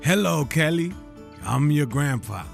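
A man's voice: a little high, expressive, creaky, soft background music. A man playing a proud older grandfather in an audio drama, fatherly and earnest as he speaks. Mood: excited, playful. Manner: earnest, fatherly, measured advice. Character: proud older grandfather, warm-hearted, advice-giving father.

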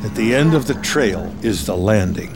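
A man's voice: fairly low pitch, lively intonation, moderate pace, creaky, over music. A man narrating a screenplay in the present tense, as dramatic scripted storytelling.

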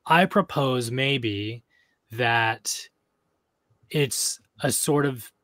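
A man's voice: mid-range voice, drawn-out words, a bit bright, no echo. A man co-hosting a podcast, casually working through a lore theory, thinking aloud with fillers and restarts. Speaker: podcast co-host discussing game lore.

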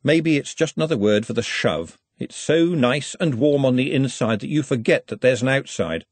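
A man's voice: mid-range voice, moderate pace, no room sound, natural voice. A man voicing a practical, guarded working woman, a cook, in an audiobook. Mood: musing. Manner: firm, plain-spoken.

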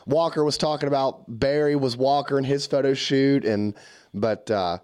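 A man hosting a podcast, his voice hoarse from a cold, talking in a friendly, easygoing way. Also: medium pitch, normal speed, a little mellow. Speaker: podcast host. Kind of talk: casual podcast chat.

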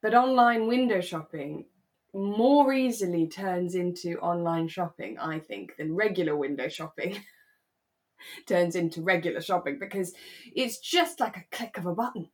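A woman co-hosting a podcast in a relaxed, casual conversational style. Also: lowish voice, unhurried. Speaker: podcast host; actor. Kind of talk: relaxed casual chat about a radio drama.

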